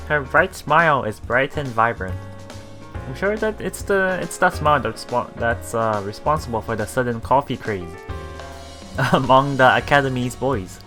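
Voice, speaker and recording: A man doing casual let's play commentary, haltingly reading and paraphrasing story text aloud while thinking out loud. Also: mid-range voice, faint music behind. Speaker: let's player.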